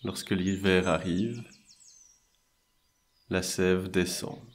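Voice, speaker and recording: A man guiding a meditation in a soothing, measured way, leaving long pauses between short phrases. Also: low voice, steady tone. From French-speaking Switzerland. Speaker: meditation and hypnosis guide. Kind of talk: guided meditation narration.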